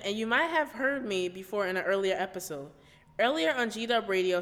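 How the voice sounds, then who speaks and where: A young woman in her late teens from the United States, reading her own scripted personal essay as a student radio reporter in an earnest, steady way. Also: mid-range voice, big-room sound.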